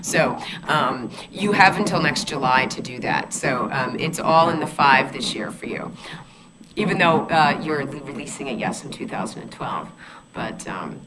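A woman's voice: rumbling low, lively intonation, a little slow, over a noisy crowd, rough recording. A woman hosting a casual radio talk show, chatty and enthusiastic, with lots of fillers and restarts. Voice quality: loud voice. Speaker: radio show host and numerologist. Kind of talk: casual radio interview chat.

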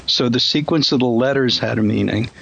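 A man's voice: mid-range voice, brisk, creaky, fairly bright tone, breathy edge, highs cut off. A man hosting a casual discussion, speaking thoughtfully with frequent ums and you-knows. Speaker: host.

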